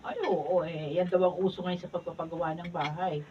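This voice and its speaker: A woman acting a practical, unruffled labourer's wife in a Filipino radio drama, brisk, down-to-earth and gently chiding. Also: on the low side, flat delivery, lo-fi sound, lightly acted. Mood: attentive. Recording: noisy, distant camera-mic studio recording.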